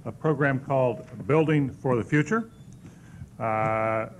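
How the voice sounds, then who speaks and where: A man's voice: medium pitch, slow. A male moderator introduces the presenters and cuts in with dry jokes. He sounds a little off-mic, with faint noise behind him. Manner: wry, joking interjections. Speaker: session moderator, a member of the press. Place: hall at a press club.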